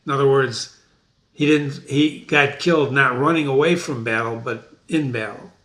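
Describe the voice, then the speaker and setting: A man's voice: mid-range voice, steady tone, moderate pace, a bit bright. A man hosting a reading, talking casually with folksy, enthusiastic exclamations. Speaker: host and reader. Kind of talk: dramatic reading with casual commentary. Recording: echoey room.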